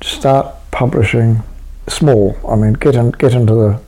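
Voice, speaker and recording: A man's voice: mid-range voice, steady tone, a little slow, dark tone, poor audio. A man being interviewed about his writing, talking casually and rambling with many restarts and fillers. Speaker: interviewed writer.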